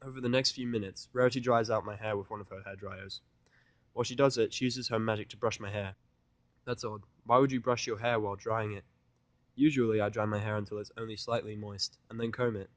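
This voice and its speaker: A man's voice: lowish voice, fairly even intonation, fairly quick, dry recording. A man reading a scripted first-person fan fiction narration in a blunt, sardonic manner.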